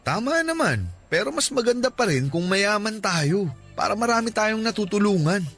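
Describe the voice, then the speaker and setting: A man's voice: sits high, sing-song, moderate pace, a bit bright, heavily acted. An actor with a Filipino accent playing a shy, earnest young man in a conversational radio drama. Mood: light, admiring. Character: shy, earnest young man, introverted first-time volunteer. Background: background music.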